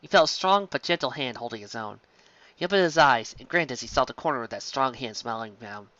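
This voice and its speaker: Animated, bright-voiced woman reading fan fiction. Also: very deep voice, fairly quick, no echo, muffled recording. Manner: theatrical, exclamatory. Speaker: fan fiction reviewer and reader. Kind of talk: animated story reading with comic asides.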